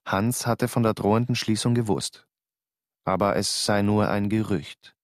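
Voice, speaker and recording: A man playing a calm, low-voiced narrator. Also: a little slow, dry recording, studio quality, lightly acted. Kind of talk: first-person radio drama narration.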